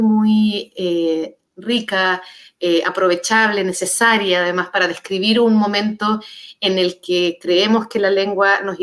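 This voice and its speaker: A woman with a Chilean accent reflects aloud in a panel discussion. Her voice sits at a middle pitch. She is thoughtful and searching, circling a point with pairs of words until she lands on it. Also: fairly bright tone. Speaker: author on a literary panel.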